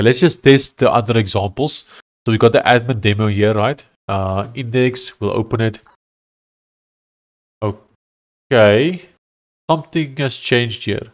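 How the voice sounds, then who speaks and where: A South African man with a thick Afrikaans accent talks casually to his live stream viewers while coding. He thinks aloud, stumbling through fillers and restarting sentences. His voice sits at an ordinary middle pitch. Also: unhurried, long pauses, muffled recording. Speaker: live-streaming software developer.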